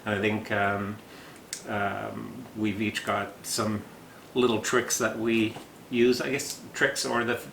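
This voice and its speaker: A man hosting a casual interview podcast, talking haltingly with lots of fillers and easy laughs. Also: on the low side, level tone, gravelly, a bit bright. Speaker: podcast host. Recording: echoey room with faint noise.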